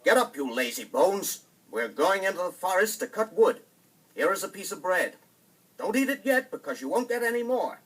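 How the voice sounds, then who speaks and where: A man voicing a cruel storybook stepmother with a sharp, scolding, commanding manner. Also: sits high, sing-song, moderate pace, slightly rough, far from the mic, voice pushed up, exaggerated voice, rough recording. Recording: vinyl storybook record with music behind.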